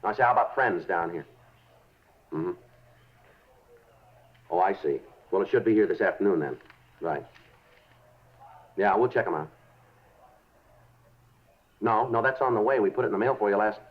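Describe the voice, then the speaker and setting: A muffled 1950s radio recording of a man playing a police detective. His voice is low, and he talks in short, plain, workmanlike phrases with a businesslike temper. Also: animated, close to an everyday voice. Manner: brief, brisk acknowledgements, plain and workmanlike. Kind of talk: 1950s radio drama acting.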